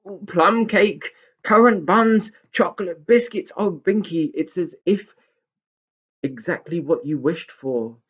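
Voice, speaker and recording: A man voicing an indignant but easily delighted young male pixie in a children's storybook, creaky and emphatic. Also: high-pitched, takes it slowly, a bit bright, dull audio, pitched above the performer's own voice, exaggerated voice. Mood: joyful. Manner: exclamatory, emphatic.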